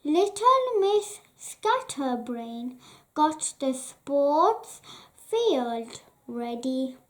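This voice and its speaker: Woman reading a kids storybook, really high voice, super slow n careful w lots of pauses. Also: very animated. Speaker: children's storybook reader, channel host. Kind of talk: read-aloud children's story narration.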